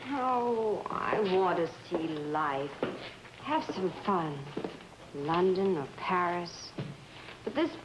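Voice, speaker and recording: Wisecracking, bored wife, suspense drama; biting delivery with very lively intonation, noisy old recording. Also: female voice, on the low side, slow. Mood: scornful. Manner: caustic, wisecracking, impatient. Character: bored, sarcastic, discontented wife of a judge.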